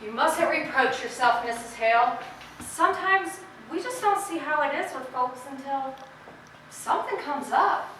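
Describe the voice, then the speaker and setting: Woman acting high, bright, proper sheriff's wife. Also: expressive, measured pace, exaggerated voice. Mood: sympathetic. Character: proper, gentle, dutiful small-town sheriff's wife. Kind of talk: stage play acting, early 1900s rural American drama. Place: stage performance recorded from audience distance. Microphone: distant camera. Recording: echoey hall from far off, noisy.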